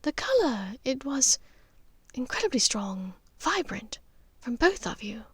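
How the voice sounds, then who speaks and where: A woman acting gentle aristocratic mother, high voice, soft and breathy at times, wistful, knowing, hesitant, dry audiobook recording. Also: big pitch swings, measured pace, no room sound, full character voice. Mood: earnest, soft.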